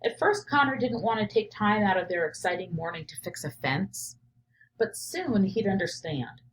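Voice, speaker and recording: A woman teacher reading a picture book aloud and pausing to reflect on it out loud, often checking in with "right?". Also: medium pitch, expressive, low-quality recording. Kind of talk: read-aloud of a picture book with think-aloud commentary.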